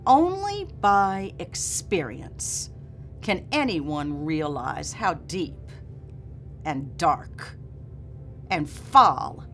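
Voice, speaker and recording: A woman's voice: lowish voice, sing-song, drawn-out words, a bit bright, full character voice. A woman reading as an earnest, dignified nineteenth-century memoirist who was once enslaved, in a formal, solemn and emphatic manner. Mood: impassioned, grave. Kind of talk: dramatic reading of a memoir preface. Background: music.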